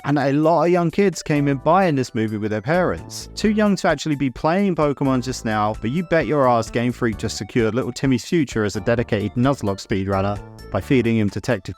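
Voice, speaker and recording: A man narrating a scripted video essay as host, casual and opinionated, with wry asides and the odd swear word. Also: mid-range voice.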